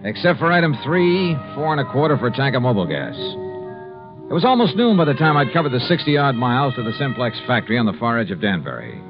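A man playing a brisk, wry insurance investigator in a 1950s radio drama, speaking in a clipped, breezy way. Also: mid-range voice, very animated, music in the background. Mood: wry, businesslike. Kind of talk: 1950s radio drama acting, first-person narration and dialogue. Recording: dull lo-fi 1950s radio recording.

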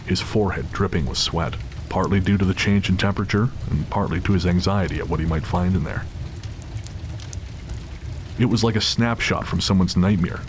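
A man narrating a scripted dramatic story as the narrator of an audio drama. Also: deep voice. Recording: clear background noise of city ambience.

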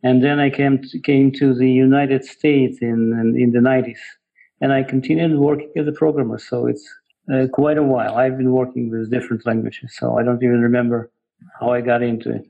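Gravelly middle-aged man with Eastern European accent. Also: medium pitch, little pitch movement, fairly quick, phone-quality sound. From Ukraine. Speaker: guest, software developer. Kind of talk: casual interview answers.

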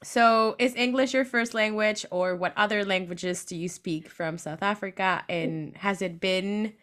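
A young woman hosts a casual podcast interview. She giggles a lot and fills her questions with "like" and "um". Her voice sits at a medium pitch. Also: a little slow, a bit bright. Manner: giggly, lots of "like" and "um". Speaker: podcast host.

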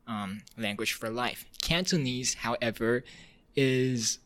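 A man from China whose native language is Chinese, a podcast guest talking in English with hesitant pauses and restarts. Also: medium pitch, fairly bright tone, no room sound. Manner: hesitant, many fillers and restarts. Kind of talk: casual panel talk.

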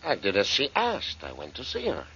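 A man's voice: lowish voice, wildly swooping pitch, moderate pace, fairly bright tone, breathy edge, exaggerated voice. A man playing an earnest, formal, uneasy psychiatrist in a 1940s radio drama. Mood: defensive, agitated. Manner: formal, hesitant. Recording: muffled old radio broadcast.

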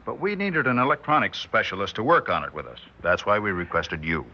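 An actor playing a calm, affable senior male scientist in a 1960s radio drama, measured and explanatory. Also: on the low side. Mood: calm, businesslike. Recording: muffled 1960s radio recording.

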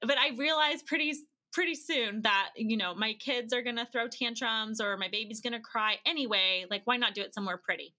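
A woman guest chats casually on a podcast. Her voice is very bright and a little high. She tells anecdotes in a bubbly, expressive way, quoting people as she goes. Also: fairly quick, few pauses. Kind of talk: casual anecdotal interview chat.

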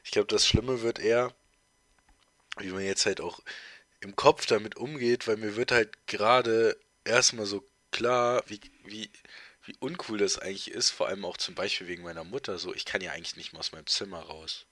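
A man's voice: lowish voice, steady tone, moderate pace, fairly bright tone, dry recording. A teenage boy co-hosting a casual podcast chat, congested with a heavy cold, rambling with lots of fillers and restarts. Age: teens. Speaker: podcast co-host.

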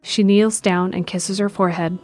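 A woman narrating a scripted audio drama in a measured, descriptive way. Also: medium pitch, steady tone, darkish tone, soft background music.

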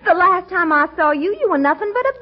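Very high gushing Southern belle. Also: female voice, animated, quick pace. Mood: flirtatious flattery. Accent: Southern US (Georgia). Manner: drawling, gushing. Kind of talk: 1940s radio comedy acting. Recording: muffled old-time radio recording.

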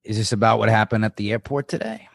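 A man's voice: lowish voice, animated, fast, vocal fry, dry recording, clean studio sound, pitched below the performer's own voice. A man playing a sarcastic, teasing younger brother of thirty-one in a screenplay reading. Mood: mocking, breezy. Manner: sardonic, breezy, mocking.

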